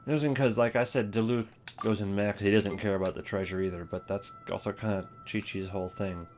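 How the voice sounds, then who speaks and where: A man's voice: fairly low pitch, steady tone, quick pace, a little mellow, faint music behind. A man giving casual, opinionated commentary as the host of a ranking video.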